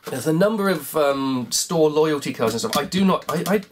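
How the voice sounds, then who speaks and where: A croaky voice muddles through a repair job, mocking itself in a loose, rambling running commentary. The pitch leaps up and down all the time, and there is a faint hiss underneath. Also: animated, moderate pace. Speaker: hobbyist repair vlogger. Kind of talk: thinking aloud while taking apart a cassette player.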